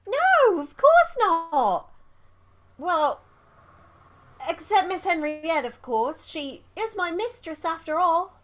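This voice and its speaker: A woman acts a young servant girl in a radio play, high-pitched and very animated. She is loyal and deferential, chatting in a plain, colloquial servant's way. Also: takes it slowly, exaggerated voice. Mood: earnest testimony. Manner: colloquial, ungrammatical servant speech. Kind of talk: audio drama acting.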